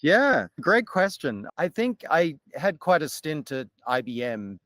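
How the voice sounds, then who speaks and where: A middle-aged Australian man, a podcast guest, talking in a measured, articulate way about his career. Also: a little high, sing-song, fairly bright tone, dry recording, studio quality. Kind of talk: podcast interview.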